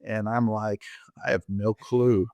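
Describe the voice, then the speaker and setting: A man in his sixties or older who grew up in Colombia, telling his own story conversationally in a halting way. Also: on the low side, flat delivery, vocal fry, a little mellow, no echo, muffled recording. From Colombia, raised by American parents. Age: 60s or older. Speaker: interview guest, orthopedic surgeon.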